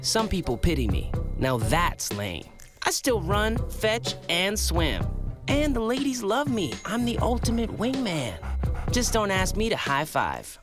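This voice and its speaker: A woman's voice: mid-range voice, big pitch swings, a little slow. A woman playing a cheerful, cocky three-legged dog in an ad voice-over. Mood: playful bragging. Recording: music bed behind.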